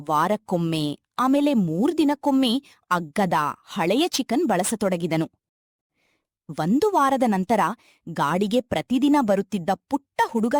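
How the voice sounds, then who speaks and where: A woman's voice: mid-range voice, dry recording, studio quality. A woman reading a scripted moral story as narrator.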